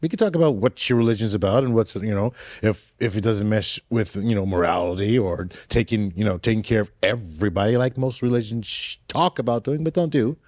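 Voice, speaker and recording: A man in his sixties, a contestant on a dating show, speaking earnestly and loosely, often circling back on his words. His voice sits on the low side. The sound is dry. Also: brisk, few pauses, darkish tone, dry recording. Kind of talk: casual chat.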